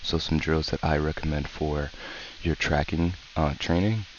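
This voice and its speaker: Man, very deep voice, sore throat, soft calm coaching, muffled lo-fi recording. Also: normal speed. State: sick with a sore throat, speaking softly. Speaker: gaming channel host. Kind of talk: casual tutorial commentary. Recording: dull lo-fi mic with faint hiss.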